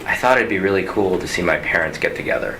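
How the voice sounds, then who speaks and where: A deep, gravelly man chats loosely in an interview, full of fillers and restarts. His low voice sits over a noisy background. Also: expressive, fast, bright, forceful tone. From United States. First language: Cantonese. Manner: rambling, many fillers and restarts, chuckles. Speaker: interviewee, film industry worker. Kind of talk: casual interview chat.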